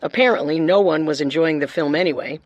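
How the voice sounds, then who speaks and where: A woman's voice: deep voice, fast, dry recording. Woman narrating scripted true crime. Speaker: co-host and narrator.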